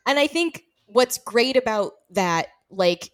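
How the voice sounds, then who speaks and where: A woman's voice: fairly high pitch, animated, slow. A woman talking casually and enthusiastically as a host in a film discussion.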